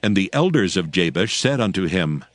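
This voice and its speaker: Low, expressive male scripture narrator. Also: no room sound. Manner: measured, solemn storytelling.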